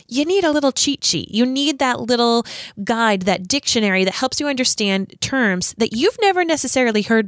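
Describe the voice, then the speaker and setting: Peppy woman podcast host, slightly raspy. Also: mid-range voice, no room sound, studio quality. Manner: peppy and encouraging, bursts of excitement. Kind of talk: upbeat solo podcast coaching.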